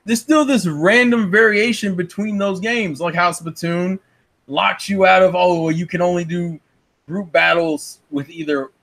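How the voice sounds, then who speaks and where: A man's voice: high voice, fairly even intonation, measured pace, vocal fry, fairly bright tone. A man debating casually as a podcast co-host, complaining in an incredulous way and swearing freely.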